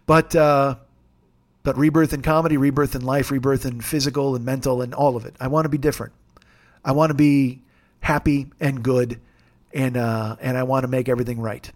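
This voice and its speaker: A man in his forties hosting a podcast alone, talking in a rambling, jokey, self-deprecating way with a gravelly voice. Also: medium pitch, steady tone, a little fast. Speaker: podcast host. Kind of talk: casual solo monologue.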